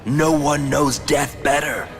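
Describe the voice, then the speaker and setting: A man's voice: fairly high pitch, steady tone, slow pace, creaky, soft background music. An actor playing a menacing, unhinged male serial killer who taunts in a whispery, laughing voice. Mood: gleeful.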